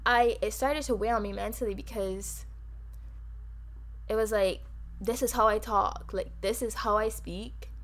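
A woman with a Barbadian accent co-hosting a podcast, talking fast and emphatically in casual chat. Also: medium pitch, fairly even intonation. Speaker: podcast co-host.

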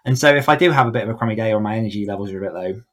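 A man's voice: lowish voice, rapid-fire. A man chatting casually as a podcast guest, explaining his thoughts in a reflective way. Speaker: podcast guest, author coach. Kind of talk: casual interview chat.

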